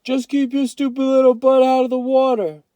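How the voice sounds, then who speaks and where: A man's voice: very high voice, flat delivery, moderate pace, mellow, smooth, dry recording, voice pushed up. A man voicing a streetwise, dismissive older brother in a storybook, slangy and taunting.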